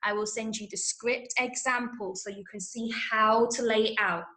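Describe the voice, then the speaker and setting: A woman's voice: fairly high pitch, steady tone, normal speed, sharp tone. A woman from Manchester with an English accent leading a workshop in an instructive, courteous way, in a roomy echo. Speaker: workshop leader. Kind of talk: workshop presentation. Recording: roomy, echoing online call sound.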